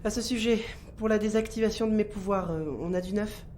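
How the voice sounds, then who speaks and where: An actress playing a police investigator in an audio drama, speaking naturally and directly in conversation. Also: female voice, medium pitch, steady tone, fairly quick, a little mellow, slight background noise, natural voice. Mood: businesslike, wry. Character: reassuring, weary female police investigator.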